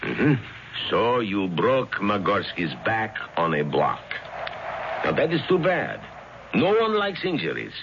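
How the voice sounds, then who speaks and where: A measured older uncle in a vintage radio play, giving plain, firm counsel. His pitch swings widely, and the recording is dull with clear noise behind it. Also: huge pitch swings, fairly bright tone, full character voice. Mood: calm, firm. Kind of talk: 1970s radio drama acting. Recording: muffled, noisy 1970s radio recording.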